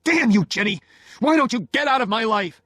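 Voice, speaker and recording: A man's voice: medium pitch, very animated, moderate pace, slightly rough, no echo, studio quality. A man playing a proud, stubborn young law-student husband in an audiobook, curt and heated. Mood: furious.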